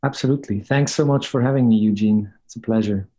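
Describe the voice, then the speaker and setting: A man's voice: fairly low pitch, fast, mellow. A man from Switzerland, a podcast guest explaining things in a methodical, conversational way. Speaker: guest, company co-founder. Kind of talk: conversational interview answers.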